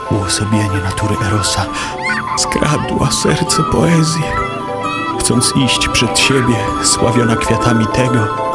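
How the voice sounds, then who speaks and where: A solemn poetry recital in a mid-pitched voice. The phrasing is slow and deliberate, with music playing loudly underneath. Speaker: poetry reciter in an audio play.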